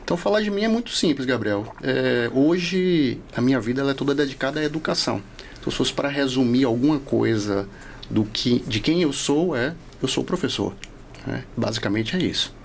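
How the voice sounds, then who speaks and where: A man's voice: mid-range voice, expressive, a little fast, vocal fry, slight background noise. A man in his forties from Salvador with a Northeastern Brazilian accent, chatting reflectively and explaining things as an interview guest. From Salvador, Bahia, Brazil. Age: 40s. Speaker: podcast guest, law professor. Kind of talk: casual interview chat.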